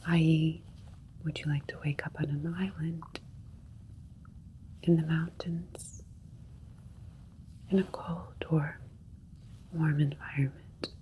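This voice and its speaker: Slow, whispering alien doctor voiced by a woman. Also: deep voice. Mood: calm, explanatory. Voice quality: breathy whisper. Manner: slow, gentle, reassuring, formal and procedural. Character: calm, clinical female alien doctor aboard a spaceship. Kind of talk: ASMR sci-fi roleplay. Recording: faint steady spaceship ambience noise. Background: soft alien spaceship ambience.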